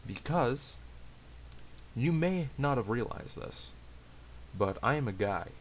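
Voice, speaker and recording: A man chatting casually to viewers, hesitant and halting, with a self-deprecating, rambling way of talking. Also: mid-range voice, expressive. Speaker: amateur story reader and channel host. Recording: hissy amateur home recording.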